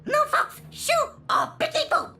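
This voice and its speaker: A tiny crazed gnome creature in a fantasy game, with a piercingly high, bright voice. It barks threats in broken phrases, swinging wildly in pitch. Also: sing-song, a little slow, gravelly, full character voice. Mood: menacing, frantic. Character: small crazed gnome-like monster guard threatening to explode. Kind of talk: video game character acting.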